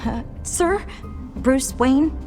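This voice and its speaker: An actress playing a polite, flustered receptionist at a mayor's office in a video game. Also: female voice, high-pitched, very slow, darkish tone, faint music behind. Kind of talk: video game dramatic voice acting.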